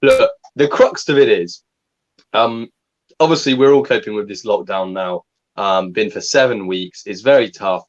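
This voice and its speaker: A young man with a fairly low voice co-hosts a relaxed livestream chat. He speaks calmly and deliberately, giving steady advice. The audio is dull and lo-fi. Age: young adult. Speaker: co-host.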